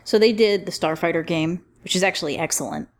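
A woman hosting a casual roundtable podcast, speaking in a chatty, encouraging way with clear enthusiasm. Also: lowish voice, fast. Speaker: podcast host.

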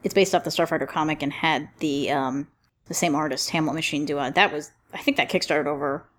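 A woman hosting a casual roundtable podcast, speaking in a chatty, encouraging way with clear enthusiasm. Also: low voice, a little fast, fairly bright tone. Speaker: podcast host.

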